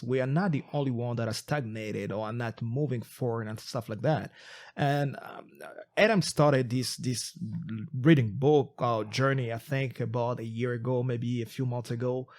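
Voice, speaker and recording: A man's voice: mid-range voice, darkish tone. A podcast co-host from Congo, a man whose first language is not English, telling his story in an earnest, reflective way with frequent restarts. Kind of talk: casual podcast chat.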